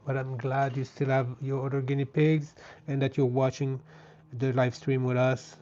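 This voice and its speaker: A man with a French Canadian accent, a native French speaker, chatting gently and casually as he hosts a live craft stream. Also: medium pitch, completely flat delivery, normal speed. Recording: dull, lo-fi sound.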